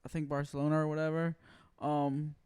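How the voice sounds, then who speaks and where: A man hosting a podcast, telling stories in a relaxed, casual way with many "like" fillers. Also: on the high side, fairly quick, clean tone. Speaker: podcast host. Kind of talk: casual chat.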